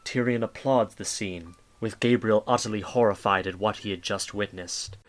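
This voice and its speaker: A man's voice: fairly low pitch, moderate pace. A man narrating a dramatic story from a script for an audio drama.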